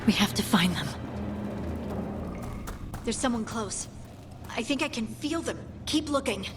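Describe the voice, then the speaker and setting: A woman's voice: on the high side, animated, a little slow. A woman playing an earnest, determined young dwarf scout in a video game, speaking plainly. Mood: urgent, reassuring. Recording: music under the voice. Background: game music.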